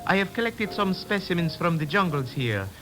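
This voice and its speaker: An actor playing a sinister foreign zoologist, formal, measured and menacingly polite, in an old radio drama. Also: male voice, high-pitched, very animated. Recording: vintage radio recording with music.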